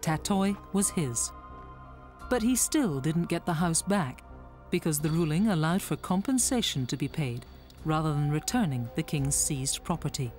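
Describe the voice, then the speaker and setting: A documentary narrator delivering scripted, measured explanation. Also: medium pitch, animated, darkish tone. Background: music.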